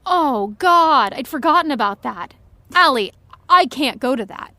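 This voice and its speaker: A woman's voice: high-pitched, animated, unhurried, bright, forceful tone, no room sound, heavily acted. A woman playing a flustered, indignant young actress with an emphatic, exasperated manner, in a naturalistic audio comedy-drama. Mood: dismayed.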